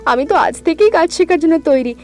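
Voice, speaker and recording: A woman's voice: extremely high pitch, expressive, quick pace. A woman voicing an eager young village woman who wants to earn her own living, impatient and keen in a cartoon. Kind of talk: cartoon voice acting.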